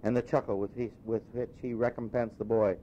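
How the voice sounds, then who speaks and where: A man reading a classic story aloud as an expressive audiobook narrator. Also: a little fast. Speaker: audiobook reader. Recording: dull, noisy recording with low rumble.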